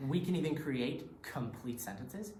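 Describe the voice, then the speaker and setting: A woman's voice: a bass voice, lively intonation, unhurried, warm tone. A woman performing readers theatre as a candid, self-justifying reality TV editor, with dramatic, emphatic phrasing. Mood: frank, conspiratorial. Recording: echoey room, some background noise.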